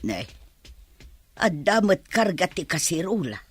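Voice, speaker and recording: A woman's voice: on the low side, big pitch swings, a little slow, slight background noise. A woman playing an exasperated, scolding village mother in an Ilocano comedy radio drama. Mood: puzzled, annoyed.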